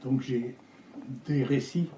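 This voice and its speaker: Older guy, spanish first language, stops and restarts a lot, super dark mellow tone, echoey room w/ faint ambience. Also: mid-range voice, a little hiss or hum. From Algeria. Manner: hesitant, halting with many fillers and restarts. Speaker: architect guest. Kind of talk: interview.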